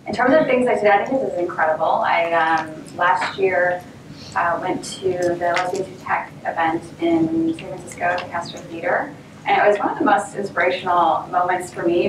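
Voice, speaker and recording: A woman panelist introducing herself at a conference with earnest enthusiasm. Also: fairly low pitch. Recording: roomy, distant mic, audible noise.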